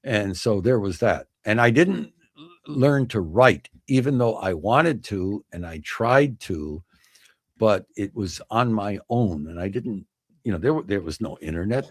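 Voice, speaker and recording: Older guy past 60 doing livestream chat, kinda deep voice, dry sound, reflective and rambly, corrects himself as he goes. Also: dry recording. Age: older, over 60. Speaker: livestream host.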